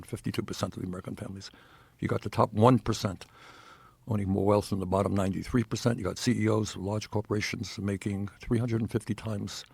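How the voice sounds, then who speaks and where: Male politician, raspy and low, emphatic repeated phrasing, close dry recording. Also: darkish tone, no echo. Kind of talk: political argument in an interview.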